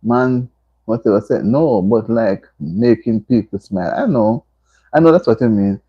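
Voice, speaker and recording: Husky woman hosting a playful livestream. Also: a bass voice, moderate pace, dull audio. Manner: reads viewer comments aloud, laughing and teasing. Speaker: livestream host. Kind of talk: casual livestream chat. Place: indoors at home.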